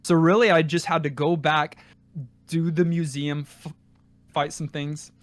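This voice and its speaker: A man with a slightly high voice talks through his opinion in a casual review discussion. He tends to repeat and rework phrases as he goes. The recording is close and dry. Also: flat delivery, a little slow, no echo. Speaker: podcast co-host.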